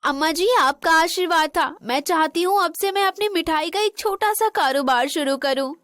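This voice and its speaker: A woman playing a dutiful young Indian daughter-in-law in a children's cartoon. Her voice is extremely high and bright, with a dry studio closeness. She speaks politely and earnestly. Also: moderate pace, no room sound. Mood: grateful, hopeful. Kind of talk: animated moral story dialogue in Hindi.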